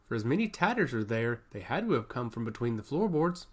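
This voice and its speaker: Self-assured fantasy prince , played by a male narrator, wry, patronizing, very lively delivery. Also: mid-range voice, brisk, slightly rough. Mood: encouraging. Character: teasing, self-assured, supportive island prince.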